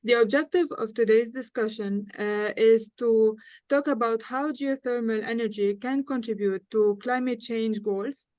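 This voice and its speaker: A woman's voice: a little high, fairly even intonation, measured pace, darkish tone, no echo. A young adult woman with a Lebanese accent, hosting a panel discussion as moderator. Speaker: panel moderator and student engineer.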